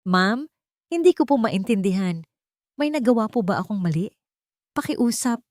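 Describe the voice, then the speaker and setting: Woman playing young male driver, earnest, deferential, worried, pleading, higher pitch, storybook romance. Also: lively intonation, normal speed, no room sound, clean studio sound, lightly acted.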